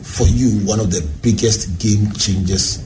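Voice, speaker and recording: A man's voice: on the low side, fairly even intonation, measured pace, noisy background. A man hosting a talk show, chatting with guests and prompting them with questions. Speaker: host.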